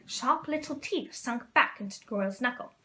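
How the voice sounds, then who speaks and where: A woman's voice: on the high side, lively intonation, moderate pace, sharp tone, slight background noise. A woman reading a storybook aloud as a storyteller. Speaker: storyteller in character as a witch. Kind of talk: storybook read-aloud narration.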